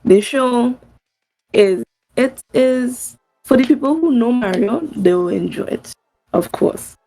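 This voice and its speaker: A woman's voice: on the high side, lively intonation, slow pace, vocal fry, mellow, rough recording. A woman with a Trinidadian accent co-hosting a podcast, giving blunt, informal opinions in casual review chat. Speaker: podcast co-host.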